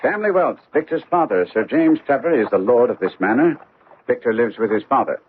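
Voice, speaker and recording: Man acting animated gentleman detective, old radio sound. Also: mid-range voice, brisk, a little hiss or hum, close to an everyday voice. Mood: brisk, keen. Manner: precise, formal, theatrical phrasing. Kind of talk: radio mystery drama acting. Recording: muffled, narrowband old radio recording.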